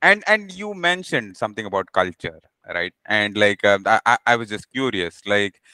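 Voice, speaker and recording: A man hosting a conversational interview podcast, speaking in a halting, affirming way as he feels out his thoughts. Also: on the low side, lively intonation, pushed and bright, clean tone, no echo. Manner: halting, affirming, frequent backchannels. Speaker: podcast host.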